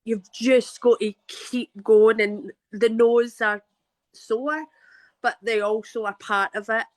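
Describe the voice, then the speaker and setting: A woman's voice: a little high, steady tone, slow, low-quality recording. Chatty Glaswegian woman telling a story. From Scotland. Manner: chatty, storytelling, frequent restarts. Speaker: guest actress. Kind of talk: casual interview chat.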